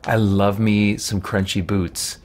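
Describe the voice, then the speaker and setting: A man in his thirties giving casual livestream commentary as he plays a video game, chatting and thinking out loud in a rambling way. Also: lowish voice, level tone, takes it slowly. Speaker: live streamer, game player. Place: small indoor room with shelves. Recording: clear game sound behind the voice. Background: video game ambience and effects.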